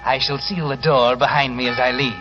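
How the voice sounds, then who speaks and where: An actor playing a mad murderous waxworks proprietor in 1940s radio style, over-polite, hesitant and full of gloating menace. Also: male voice, medium pitch, fairly even intonation, a little fast, over music, lightly acted. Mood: oily, polite. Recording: muffled, noisy 1940s radio recording.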